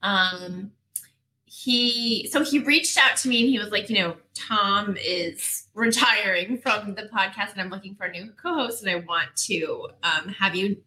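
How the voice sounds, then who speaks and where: A woman guest with a high-pitched, girlish voice, talking candidly and animatedly, laughing easily. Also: a little slow, sharp tone, poor audio. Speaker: guest, agency founder and CEO. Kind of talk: casual interview conversation.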